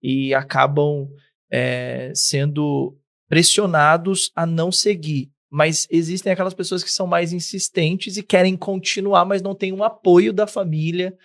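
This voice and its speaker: A man's voice: fairly high pitch, steady tone, measured pace, dry recording, clean studio sound. A man hosting a business podcast, giving short nods and asking long, careful questions. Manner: attentive, short affirmations, long framed questions. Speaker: interview host. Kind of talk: podcast interview.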